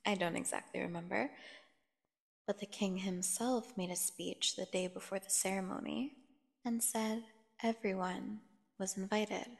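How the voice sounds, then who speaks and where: A woman playing a seductive, dangerous captive vampire in an ASMR fantasy horror roleplay, teasing and theatrical, with a creaky voice, speaking straight to the listener. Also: mid-range voice, steady tone. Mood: bitter recollection. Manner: teasing, slow, theatrical, addressing the listener directly. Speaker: audio roleplay performer. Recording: long echoing reverb.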